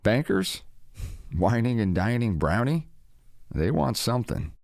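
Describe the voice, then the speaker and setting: A man's voice: mid-range voice, sing-song, a little slow, vocal fry, a little mellow, no echo, clean studio sound. A man playing a loyal, plain-spoken ranch hand in an audio drama, blunt, grumbling and suspicious. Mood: wry, suspicious. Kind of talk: full-cast audio drama acting.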